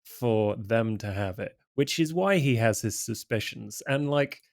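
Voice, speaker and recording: Unhurried man playing a tabletop game. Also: mid-range voice, expressive, creaky. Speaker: player in a tabletop roleplaying game. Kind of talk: casual explanation of his character's actions.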